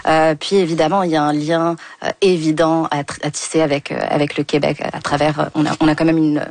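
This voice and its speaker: Low-voiced woman, measured panel commentator. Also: little pitch movement, darkish tone, no echo. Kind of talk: semi-prepared panel commentary. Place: dry studio.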